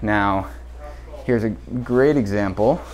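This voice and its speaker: A man's voice: fairly low pitch, slow pace, background noise. A man walking through a renovation site with a head-mounted camera, casually explaining the construction details he points out. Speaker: builder giving a site tour. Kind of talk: walkthrough explanation on a job site. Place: indoor renovation site, bare rooms. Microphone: head-mounted action camera.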